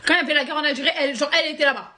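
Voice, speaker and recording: A young woman from France telling a sarcastic, animated story straight to her viewers. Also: high voice, very fast. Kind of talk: casual storytime monologue.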